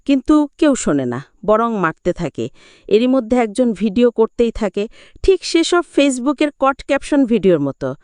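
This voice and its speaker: Female movie-recap narrator, smooth and resonant, dry studio sound, dramatic scripted storytelling. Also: a little high, sing-song, measured pace, darkish tone, no room sound.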